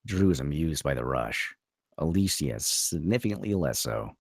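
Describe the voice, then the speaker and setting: A very low male voice reads screenplay directions with a husky, crackling edge and animated swings in pitch. The sound is dry and close, as a narrator would give it at a table read. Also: normal speed, no room sound. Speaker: narrator reading stage directions.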